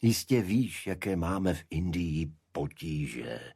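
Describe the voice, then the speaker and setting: A male narrator puts on a secretive senior official in a detective audiobook. He is formal and evasive, explaining carefully in a low, husky voice with a very dry sound. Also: very animated, darkish tone, no echo, exaggerated voice. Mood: guarded explaining.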